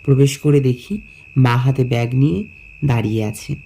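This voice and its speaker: A man narrating a scripted first-person story in measured phrases. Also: medium pitch, vocal fry, dark tone, a little hiss or hum. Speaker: narrator and lead character.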